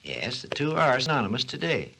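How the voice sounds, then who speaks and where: A man's voice: medium pitch, very animated, brisk, noisy background. A man playing a learned, patient scientist who explains history in a scripted 1940s educational radio program. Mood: storytelling. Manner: didactic, storytelling delivery. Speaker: expert guest on a science program. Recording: husky-sounding 1940s radio recording.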